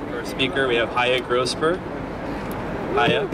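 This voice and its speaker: A man serves as emcee at an outdoor rally, listing the next speaker's background in a plain, even tone. He sounds set back from the microphone, with loud street noise around him. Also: medium pitch, unhurried. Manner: reads a speaker's bio as a list. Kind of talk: event introduction. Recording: distant, noisy street recording. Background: traffic and street noise.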